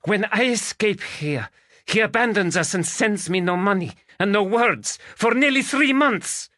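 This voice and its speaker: A proud Spanish noblewoman, put on by a male narrator, her clipped, slightly broken English swinging high and bright. Her voice lifts well above a man's range and leaps about with feeling. The storybook reading sits close and dry. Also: animated, measured pace, no echo, heavily acted. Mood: furious. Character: proud fiery Spanish noblewoman, young adult. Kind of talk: audiobook character voice.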